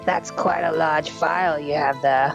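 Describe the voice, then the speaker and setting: A woman's voice: deep voice, fairly even intonation, unhurried, creaky, dull audio, over music. A woman voicing a haughty, scornful older male prosecutor with a pompous, taunting delivery in a game dub. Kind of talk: amateur video game dub.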